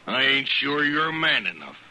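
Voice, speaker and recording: A man's voice: medium pitch, sing-song, measured pace, bright, forceful tone, heavily acted. A male actor playing a hostile, blustering cattleman with a taunting rustic drawl in an old-time radio western. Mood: challenging. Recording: faintly noisy old radio recording.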